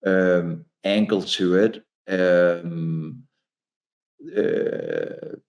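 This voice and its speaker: Deep gravelly man, Danish native speaker. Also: huge pitch swings, extremely slow, a little mellow, muffled recording. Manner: hesitant, many restarts. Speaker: author and speaker. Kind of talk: casual conversation.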